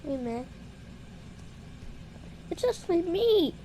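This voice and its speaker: A woman improvising playful puppet show dialogue in an excitable, exclamatory way. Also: very high voice, extremely slow.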